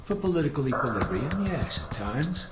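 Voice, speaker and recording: A man's voice: medium pitch, big pitch swings, moderate pace, natural voice. A man playing a curt, condescending intelligence analyst in a video game, with dry, clipped, formal delivery. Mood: lecturing. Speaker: intelligence analyst and mission handler. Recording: roomy echo, loud game music behind.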